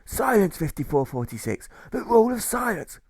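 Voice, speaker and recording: A man voicing a gruff, volatile old sailor turned jailer in an audiobook, with a stage pirate accent and blustering, exclamatory delivery. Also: high voice, sing-song, normal speed, darkish tone, dry recording, pitched above the performer's own voice, full character voice. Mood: barking.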